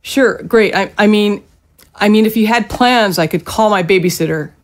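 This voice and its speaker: A woman playing a fussing, mildly exasperated middle-aged mother in a naturalistic audio drama, gently nagging. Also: mid-range voice, moderate pace, lightly acted. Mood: tentative.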